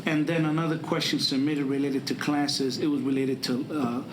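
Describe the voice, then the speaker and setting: A man speaking as a school administrator in a public meeting, haltingly, often repeating words and phrases. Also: a little high, little pitch movement. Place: large meeting room. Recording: echoey room with clear background noise.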